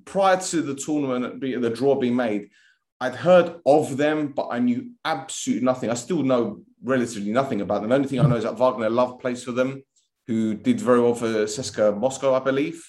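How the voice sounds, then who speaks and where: A man's voice: medium pitch, fairly quick, a little mellow, roomy sound. A man hosting a casual football podcast, chatting and asking his guest questions in a friendly way. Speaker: podcast host.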